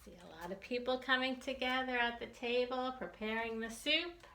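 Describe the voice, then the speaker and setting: A woman leading a children's storytime as a librarian, reading aloud in an expressive, deliberate, sing-song way. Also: a little high, slight background noise. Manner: slow, deliberate, expressive delivery with repetition. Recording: roomy, echoey sound.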